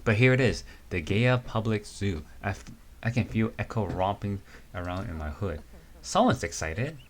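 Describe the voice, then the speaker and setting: A man reading the lines of a young woman survivalist in an amateur comic reading, with a plain, low-key delivery. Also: lively intonation, normal speed, a little hiss or hum, lightly acted.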